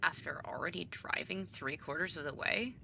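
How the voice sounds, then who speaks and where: A woman's voice: sits low, moderate pace, gravelly, noisy background. A woman playing a guarded, blunt but forgiving younger sibling in a naturalistic audio drama. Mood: casual, bickering.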